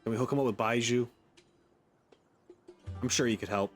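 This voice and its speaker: Male streamer, mid-pitched voice, informal gameplay commentary, faint game music. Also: fairly even intonation, normal speed. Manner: informal, reacting aloud to the story.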